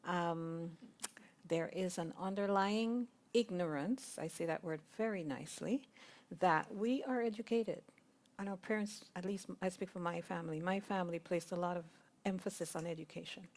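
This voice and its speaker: A woman's voice: lowish voice, normal speed. A woman, sharing thoughtful reflections in a group discussion at a spiritual centre. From Guyana. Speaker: congregation member sharing.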